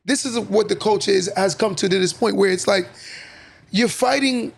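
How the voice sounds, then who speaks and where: Podcast co-host, man, raspy and gravelly, lively anecdotes. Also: sits high. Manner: animated storyteller, quick affirmations. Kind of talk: casual podcast conversation, storytelling.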